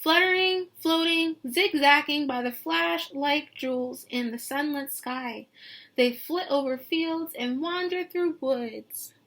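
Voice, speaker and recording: A woman teacher reading a picture book aloud to young children, expressive and sing-song, pausing to put questions to them. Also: sits high. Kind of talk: read-aloud lesson with questions to young listeners.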